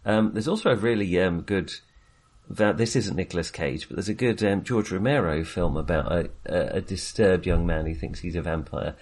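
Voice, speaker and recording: Halting, low-voiced man chatting about films. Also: darkish tone, slightly rough. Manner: hesitant, halting delivery. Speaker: podcast co-host. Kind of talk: casual film discussion.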